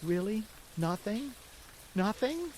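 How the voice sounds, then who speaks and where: A man voicing a fussy, hungry wooden boy puppet in a storybook reading, whining and pleading in a high, excitable voice. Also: a little slow, slight background noise.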